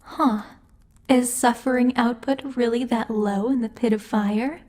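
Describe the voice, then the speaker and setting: A woman playing a stern, impatient female demon lord in an ASMR roleplay, speaking in a haughty, measured, exasperated way. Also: on the high side, fairly even intonation, a little mellow, lightly acted. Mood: businesslike, exasperated. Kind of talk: ASMR roleplay monologue addressed to the listener.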